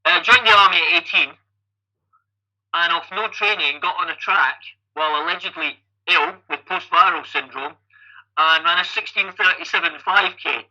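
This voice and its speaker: A man's voice: a little high, animated, normal speed, pushed and bright, lo-fi sound. A man in his fifties with a Scottish accent, a podcast guest telling his life story conversationally, often restarting his phrases. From Scotland. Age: 50s. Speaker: podcast guest, amateur endurance athlete.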